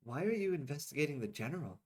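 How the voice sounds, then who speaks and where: Brief: skeptical young woman pilot, voiced by a man, blunt and lively. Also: fast, husky. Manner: raised, higher put-on voice.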